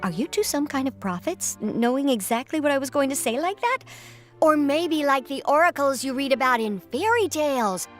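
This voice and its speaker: A woman playing an earnest, superstitious, excitable association representative in an anime-style video game, with a dramatic storytelling manner. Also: high-pitched, moderate pace, over music. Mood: relieved, earnest.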